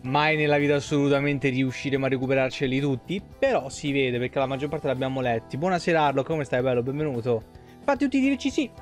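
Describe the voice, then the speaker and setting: A man's voice: mid-range voice, fast talker, soft background music. A young man, chatting casually on a game livestream in an informal, rambling, sweary way. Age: young adult. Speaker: video game streamer. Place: small room indoors at a streaming desk. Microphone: desk microphone close up, with headset.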